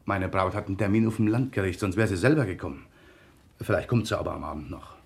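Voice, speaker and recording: An actor playing a pious, meek, long-suffering bridegroom in a radio drama, deferential and sanctimonious, with a creaky voice. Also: male voice, sits low, fast talker. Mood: meek, apologetic. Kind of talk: naturalistic German radio drama acting.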